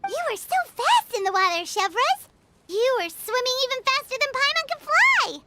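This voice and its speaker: A woman voicing a small, childlike, bubbly fairy companion with a squeaky voice in a video game. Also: sing-song, normal speed, background noise. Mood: excited, curious. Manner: exclamatory, refers to self in third person. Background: background music.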